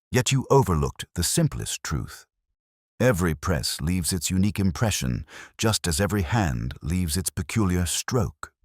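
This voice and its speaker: A man voicing a shrewd, calmly relentless detective in an audiobook mystery, speaking in formal, measured, incisive phrases. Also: a bass voice, no room sound, studio quality, exaggerated voice.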